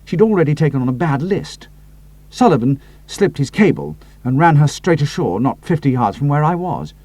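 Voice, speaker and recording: A man's voice: fairly high pitch, animated, moderate pace, a little mellow, dry recording. A man voicing a loyal, cautious pilot sidekick who talks earnestly. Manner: earnest and a little doubtful.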